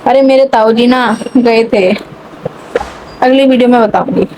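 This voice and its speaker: Young woman, high voice, sarcastic rambling vlogger, mixed online-picked English accent, light background noise. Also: unhurried, a little mellow, rough recording. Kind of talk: casual rant to camera.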